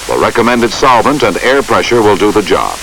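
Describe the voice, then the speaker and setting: Male narrator for an instructional film: formal and measured, lively intonation, slightly hissy vintage soundtrack. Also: fairly low pitch, poor audio.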